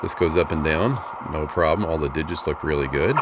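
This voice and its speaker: A man's voice: rumbling low, a little fast, heavy background noise. A middle-aged man giving a casual, unscripted demonstration of equipment in a folksy, hesitant, plain-spoken way. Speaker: ham radio hobbyist presenting a receiver.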